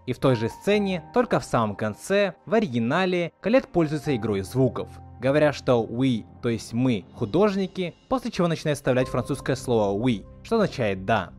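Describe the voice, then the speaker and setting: A man hosts a scripted video essay. His mid-pitched voice moves up and down in a lively way as he narrates, with soft music underneath. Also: a little fast, hardly any pauses. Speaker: video host.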